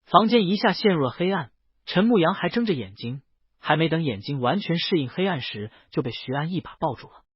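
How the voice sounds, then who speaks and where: A man's voice: fairly high pitch, lively intonation, fairly quick, slightly rough, no echo, lo-fi sound. A man narrating a scripted romance novel as an audiobook, reading close to the mic in a dry recording. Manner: reads character dialogue within the narration.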